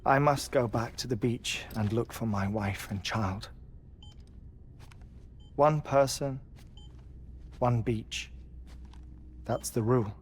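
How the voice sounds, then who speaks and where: An actor playing an eccentric, grieving middle-aged scientist in a video game, speaking in a deliberate, theatrical, eloquent way with thoughtful pauses. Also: male voice, medium pitch, slight background noise, natural voice. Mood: resolute, wistful. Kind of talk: dramatic game cutscene acting. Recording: game soundtrack music behind voice. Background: music.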